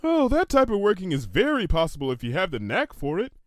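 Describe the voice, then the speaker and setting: A man's voice: high voice, huge pitch swings, a little fast, dry recording, studio quality, pitched above the performer's own voice. A man voicing a scheming, self-assured female unicorn pony in a storybook reading, musing in a theatrical, declamatory way. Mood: smug, eager. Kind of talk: fan fiction storybook character voice.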